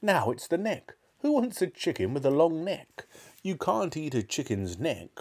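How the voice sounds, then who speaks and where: A man's voice: a little high, very animated, normal speed, husky, pitched above the performer's own voice, exaggerated voice. A man voicing a sceptical, complaining farmer's wife in a storybook reading. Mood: nagging, doubtful.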